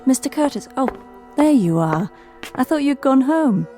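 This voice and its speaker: An attentive secretary in a radio play. Her voice is high and very soft-edged, courteous and helpful, with faint music behind. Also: very animated, normal speed. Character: polite, attentive female office secretary. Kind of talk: radio drama acting.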